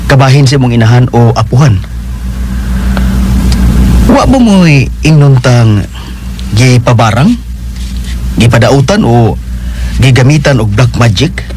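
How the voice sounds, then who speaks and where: An actor playing an earnest, troubled grown son in a radio drama, with a Filipino Cebuano accent. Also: male voice, mid-range voice, lively intonation, measured pace, pauses often, noisy background, lightly acted. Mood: serious, probing. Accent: Filipino, Cebuano-speaking Visayan. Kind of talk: Philippine radio drama acting.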